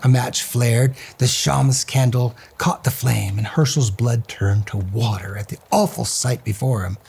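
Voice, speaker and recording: A man's voice: medium pitch, steady tone, unhurried, creaky, a little mellow, breathy edge. A man reading a children's story aloud as host, in a theatrical, suspenseful way.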